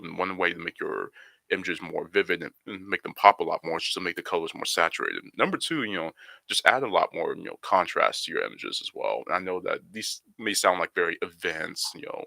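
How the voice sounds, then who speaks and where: A young man, a photographer guest on a podcast, explaining his craft in a relaxed way with lots of "you know" fillers. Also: low-pitched, quick pace, vocal fry, no echo. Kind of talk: podcast interview answers.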